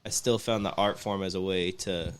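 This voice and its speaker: A man's voice: deep voice, fairly even intonation, normal speed, clear, resonant tone. A man in his twenties, a rapper answering interview questions in an easygoing way, full of fillers. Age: 20s. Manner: easygoing, filler-heavy, chuckling. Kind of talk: casual interview talk.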